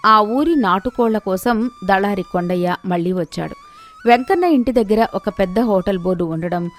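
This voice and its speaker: A woman storyteller delivering scripted narration of a village moral tale. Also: medium pitch. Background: music.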